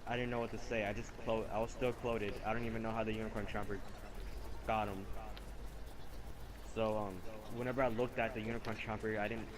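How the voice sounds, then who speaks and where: A man's voice: lowish voice, little pitch movement, brisk. A young man improvising a casual, rambling story over video game footage, earnest and repetitive as he goes. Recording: noisy home game-capture microphone.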